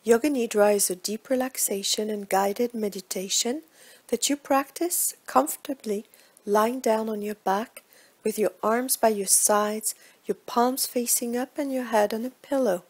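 Slow, breathy woman guiding meditation. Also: on the high side. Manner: slow, measured, hushed delivery with soft breathy phrase endings. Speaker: yoga nidra meditation guide. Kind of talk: guided relaxation meditation, scripted instruction.

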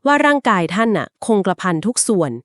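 A woman in mid-range pitch tells an old legend in an easy conversational way, explaining each turn at length. She softens her lines with polite particles and small filler pauses. The sound is dry and close, with no room around it. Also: big pitch swings, dry recording. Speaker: podcast co-host. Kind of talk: conversational storytelling of a folk legend.

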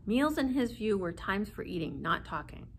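A woman's voice: mid-range voice, big pitch swings, moderate pace, a little hiss or hum. A woman in her forties reading a children's story aloud expressively, seated indoors a short distance from the camera.

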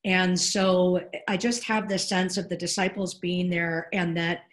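A middle-aged woman with a somewhat low voice speaks earnestly and reflectively, working through her thoughts aloud. She is on a video call from a room at home, heard through a computer mic. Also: level tone, measured pace. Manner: earnest, reflective, gestures with hands while talking. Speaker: podcast co-host discussing preaching. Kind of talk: casual podcast discussion.

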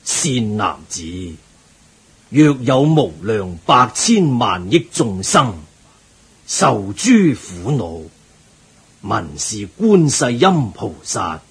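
An actor playing a devout, learned Buddhist monk in a radio drama, measured and earnest. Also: male voice, mid-range voice, slightly rough, exaggerated voice. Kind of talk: Cantonese radio drama acting.

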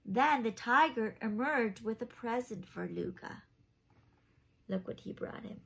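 A woman's voice: fairly high pitch, lively intonation, unhurried, gravelly, breathy edge. A woman in her thirties reading a picture book aloud to children, adding simple questioning asides. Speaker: children's story time reader. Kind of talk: expressive picture-book read-aloud with asides to young listeners. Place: small home room, indoors.

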